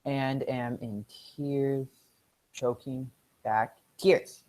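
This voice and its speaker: A woman playing a stilted eyewitness in a melodramatic radio play, with halting, drawn-out delivery. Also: extremely low pitch, fairly even intonation, warm tone, full character voice. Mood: ponderous grief.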